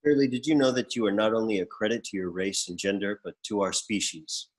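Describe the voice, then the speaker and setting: A man playing a pompous, deadpan executor who reads out bequests in a comedy script table read. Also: lowish voice, a little fast, lightly acted. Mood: formal, solemn. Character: pompous male executor reading out an eccentric bequest.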